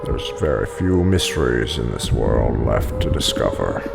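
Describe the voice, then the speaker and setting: An actor in an audio drama playing a brooding, menacing crime boss and weary father, speaking gravely and deliberately in a gravelly voice. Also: very deep voice, lively intonation, over loud music, heavily acted. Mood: brooding, cold. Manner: slow, deliberate, resigned. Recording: noisy ambience, long hall reverb.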